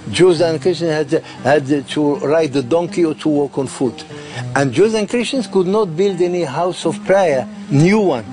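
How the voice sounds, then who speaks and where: A man from Morocco giving an explanatory documentary interview, haltingly repeating words as he goes. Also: fairly high pitch, darkish tone, faint music behind.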